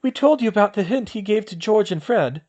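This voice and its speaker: This is a man reading a clever, determined teenage witch, decisive and organized. The voice sits high for a man and moves lively up and down. She sounds fast and sure of her plans. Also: muffled recording. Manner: brisk and decisive. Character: sharp, determined teen witch, organized planner.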